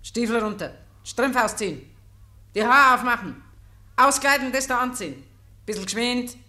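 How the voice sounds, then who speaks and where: An actress playing a brisk prison wardress giving clipped orders in a 1950s radio drama. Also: female voice, fairly high pitch, animated, pushed and bright, reverberant. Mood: impatient. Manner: clipped, commanding. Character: brisk, cold prison wardress hurrying new inmates. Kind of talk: 1950s German dialect radio drama acting.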